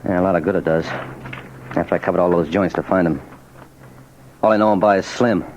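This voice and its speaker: A cool, hard-boiled police sergeant from an old radio play, acted by a man. His voice is very low and his delivery quick and businesslike. The recording is dull, with faint hiss. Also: close to an everyday voice. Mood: weary, matter-of-fact. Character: cool undercover police sergeant posing as crook. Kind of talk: 1940s radio drama acting.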